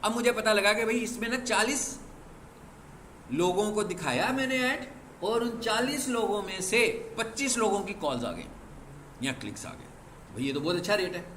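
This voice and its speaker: A man's voice: sits high, expressive, moderate pace, a bit bright. A male teacher lecturing a class. He explains by asking questions and answering them himself, and he is firm with students about discipline. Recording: echoey classroom with faint background noise.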